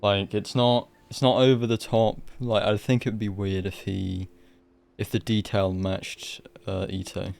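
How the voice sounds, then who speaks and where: A man's voice: on the low side, little pitch movement, unhurried, gravelly, darkish tone. A man game streamer giving casual, colloquial, sweary reaction commentary.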